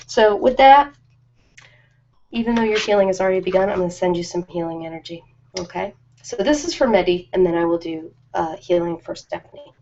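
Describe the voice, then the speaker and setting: A woman with a mid-pitched voice hosts a casual ASMR live stream. She talks in an informal, rambling, chatty way, as if to a few viewers. The audio is dull and lo-fi, like a basic web broadcast. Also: vocal fry, darkish tone.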